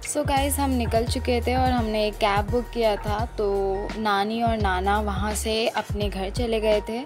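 A woman's voice: fairly high pitch, fairly even intonation, unhurried. A young Indian woman narrating a casual travel and food vlog, slipping English phrases into her speech. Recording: music loud behind the voice. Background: loud music.

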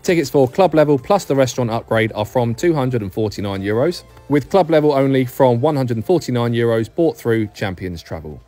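A man's voice: mid-range voice, lively intonation, brisk, hardly any pauses, mellow. A man hosting a review vlog in a scripted voice-over, speaking phrase by phrase with falling endings in an upbeat manner. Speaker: hospitality review host. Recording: music behind the voice. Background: background music.

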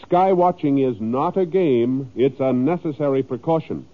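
A man's voice: mid-range voice, normal speed. An American man announcing in formal, emphatic 1950s radio style from a script. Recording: phone-like, narrow old radio recording.

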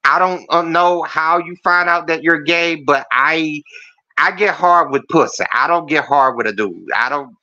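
A man with a feminine-sounding voice rants on a video call show. His tone is bright and his pitch sits a little high for a man. The call audio is muffled. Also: unhurried. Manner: profane, blunt and rambling. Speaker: caller. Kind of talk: casual call-in chat.

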